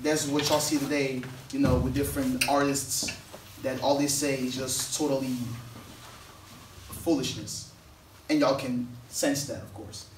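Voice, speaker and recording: A man's voice: medium pitch, steady tone, unhurried, slight background noise. A young man from Portugal, an English speaker telling his life story to an audience on stage in an earnest, rambling way. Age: young adult. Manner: earnest, rambling, with many fillers and restarts. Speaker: guest speaker. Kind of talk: personal story told to an audience. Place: on stage in a hall. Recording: echoey room, distant mic.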